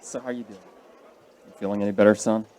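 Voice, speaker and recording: An actor playing a calm, middle-aged investigator who coaxes out a statement in a patient, folksy way, in a radio drama. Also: male voice, fairly low pitch, fast, some chatter behind, a little hiss or hum, close to an everyday voice. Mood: reassuring. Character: calm, fatherly older male investigator taking a statement.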